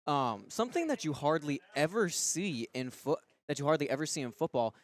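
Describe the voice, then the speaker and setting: A man's voice: on the high side, big pitch swings, a little fast. A man giving conversational live commentary as a co-commentator on sports radio.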